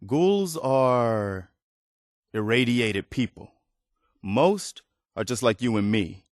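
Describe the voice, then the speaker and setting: An actor playing an earnest, weary militia soldier in a video game, loyal and humble, with a sincere, plain-spoken manner. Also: male voice, medium pitch, expressive, slow pace, no echo, clean studio sound. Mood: explaining, steady. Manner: sincere, plain-spoken, hesitant when opening up. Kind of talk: video game character dialogue.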